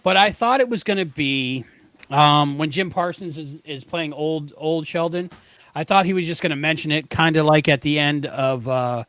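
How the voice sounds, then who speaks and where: A middle-aged man co-hosting a podcast, chatting casually about pop culture in an animated, slangy, emphatic way. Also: fairly high pitch, normal speed, no room sound. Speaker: podcast co-host.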